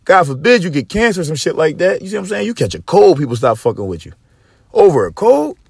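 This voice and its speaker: A man hosting a podcast in casual chat, with a slightly raspy voice and loose, profane, joking rants. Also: very animated, fast talker, darkish tone, dry recording, clean studio sound. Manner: profane, joking rants with big pitch swings. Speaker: podcast host.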